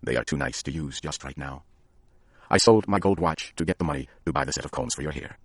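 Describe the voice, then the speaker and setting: Man as a subdued young husband in a storybook, low, deep, husky. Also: no room sound, clean studio sound. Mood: calm. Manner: slow, subdued. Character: young husband, quiet and serious.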